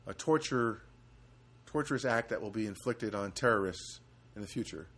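A man hosting a casual solo podcast from a studio apartment, rambling and halting with many fillers. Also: lowish voice, expressive, fairly bright tone. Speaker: podcast host.

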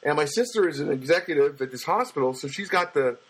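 A man with a booming voice telling a personal story in a casual monologue, halting often with fillers and restarts. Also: animated, breathy edge, rough recording. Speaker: storyteller recounting a personal loss.

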